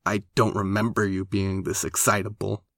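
A man voicing a blunt, sardonic young male character in an audiobook, with a dry, deadpan delivery. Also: low-pitched, moderate pace, vocal fry, no room sound.

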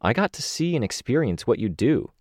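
A man reading an audiobook, voicing a composed young woman hero who speaks in a measured, respectful way. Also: medium pitch, very animated, darkish tone, no echo, clean studio sound, voice pushed up.

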